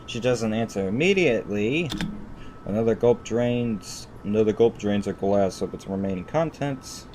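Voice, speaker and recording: A man with a slightly low voice reads a horror visual novel aloud as a streamer. His delivery is dramatic narration, now and then going back over a phrase. There is faint rumble behind him. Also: animated, moderate pace, a little mellow.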